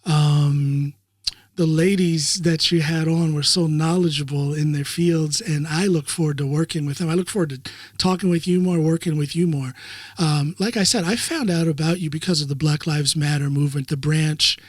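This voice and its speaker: A man's voice: fairly high pitch, fairly even intonation, moderate pace, dry recording, studio quality. A man from the United States hosting an interview podcast, chatting casually and often stammering over his first words. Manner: friendly, repeats words when starting. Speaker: podcast host.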